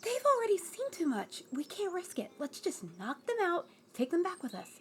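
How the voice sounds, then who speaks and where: A woman's voice: very high voice, big pitch swings, a little hiss or hum, voice pushed up. A woman acting a fiery, aggressive young sister in a fantasy audio roleplay, pushy, sarcastic and resentful. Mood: pushy, scheming. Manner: pushy, sarcastic, quick to complain.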